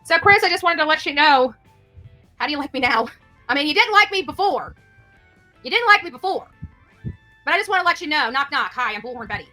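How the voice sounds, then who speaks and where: Brash fast-talking woman, bright and forceful. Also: sits high, expressive, soft background music. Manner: brash, mocking, repetitive. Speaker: YouTuber. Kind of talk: unscripted, taunting online monologue.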